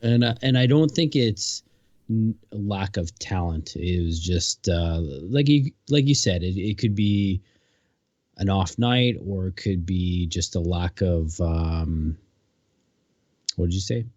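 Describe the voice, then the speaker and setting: A man co-hosting a podcast, speaking in a hesitant way and pausing to search for words. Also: low-pitched, darkish tone, no room sound. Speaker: podcast co-host. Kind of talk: casual chat. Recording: dull dry lo-fi mic.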